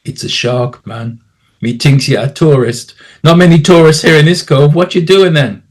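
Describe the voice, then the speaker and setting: Man putting on an excitable, blunt islander. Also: a little high, moderate pace, close to an everyday voice. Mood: friendly, teasing.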